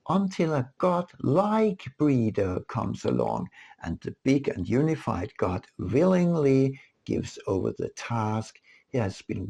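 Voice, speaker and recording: Male audiobook narrator, husky voice, mellow tone, deliberate delivery, author reading nonfiction. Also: medium pitch. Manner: deliberate, rhetorical questioning. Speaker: author reading own book.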